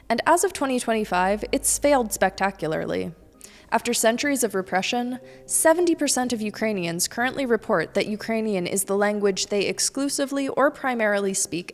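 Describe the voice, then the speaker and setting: A young woman from the United States delivers a scripted weekly news rundown in a mid-range voice, with dry, pointed asides. Her voice carries a long reverb tail. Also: mid-range voice. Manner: pointed, sardonic commentary. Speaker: news presenter.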